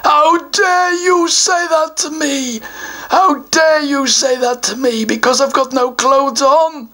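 A woman voicing a vain, touchy guardian creature of a treasure hoard, blustering and quick to take offence in a children's story. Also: high-pitched, steady tone, pushed and bright, pitched above the performer's own voice, exaggerated voice. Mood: indignant. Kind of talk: children's storytelling with put-on voices.

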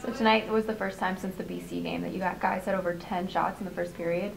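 Quick, gravelly female reporter. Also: on the low side, level tone, poor audio. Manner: hesitant, with fillers. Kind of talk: press conference questions.

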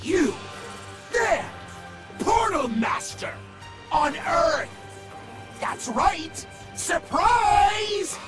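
An actor voicing a short, megalomaniac evil dark sorcerer in a video game, shrill, pompous and taunting. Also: male voice, very animated, extremely slow, pauses often, over loud music, exaggerated voice. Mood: triumphant menace. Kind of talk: over-the-top cartoon villain acting.

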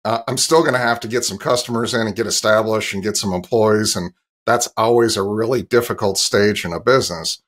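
A man's voice: on the low side, a little fast, fairly bright tone. A man in his fifties giving explanatory answers as an interview guest, talking over a video call from a home office. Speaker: interview guest, industry analyst. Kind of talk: explanatory business interview talk.

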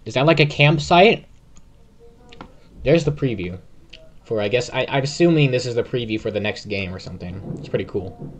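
Excitable young man narrating gameplay. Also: medium pitch, moderate pace, long pauses, muffled recording, slight background noise. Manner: excited, urging on a teammate. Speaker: gamer. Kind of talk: casual gameplay commentary.

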